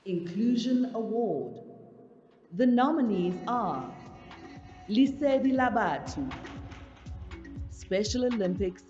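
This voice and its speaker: A woman's voice: fairly high pitch, expressive, slow pace, pauses often, mellow. A woman announcer reading out a scripted list of nominees. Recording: dull, echoey hall playback with music. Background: music.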